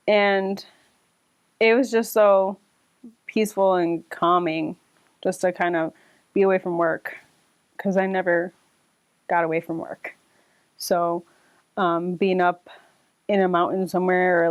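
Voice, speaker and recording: A woman's voice: lowish voice, dry recording. A woman casually telling a personal story in an interview.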